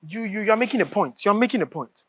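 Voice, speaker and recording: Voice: medium pitch, animated, fast, slightly rough. A stage actor playing a fervent, impatient revolutionary with a Nigerian accent, speaking in emphatic, oratorical dialogue and repeating phrases for force. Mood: approving. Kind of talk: live stage drama acting, declamatory dialogue.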